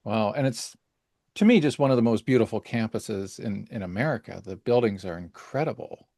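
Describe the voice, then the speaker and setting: A man's voice: fairly low pitch, expressive, brisk, dark tone, dry recording, clean studio sound. A man from Canada hosting a podcast interview, asking questions in a friendly, hesitant way with many fillers. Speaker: podcast host.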